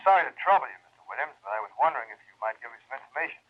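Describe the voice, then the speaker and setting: A polite police lieutenant speaking on the phone in a 1940s radio drama. He talks quickly in a slightly husky voice, and the old broadcast sounds dull. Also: medium pitch, sing-song. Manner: smooth, courteous. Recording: muffled old radio recording.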